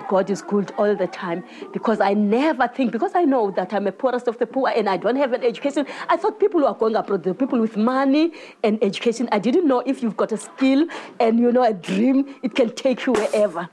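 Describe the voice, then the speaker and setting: A middle-aged woman from Africa, earnestly telling her story in a casual interview, often halting and restarting. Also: on the high side, lively intonation, mellow. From South Africa. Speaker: local baker and guest.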